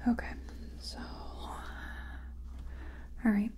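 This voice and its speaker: A woman whispering softly and gently in a breathy voice, reassuring the listener in a close-up ASMR personal attention roleplay as a makeup artist. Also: extremely slow. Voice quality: soft, breathy, mostly whispered. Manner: gentle, reassuring, murmured repetitions.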